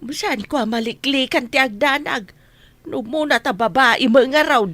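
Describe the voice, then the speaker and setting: A woman's voice: high-pitched, measured pace, fairly bright tone, no echo. A woman acting in a radio drama as an outspoken, fretful village woman who nags and advises. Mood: worried, complaining.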